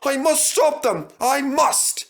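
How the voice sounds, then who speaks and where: A man's voice: extremely high pitch, takes it slowly, voice pushed up. A man voicing a brave, earnest young steam engine, polite and eager, for a storybook. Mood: desperate. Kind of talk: storybook fandub character voice.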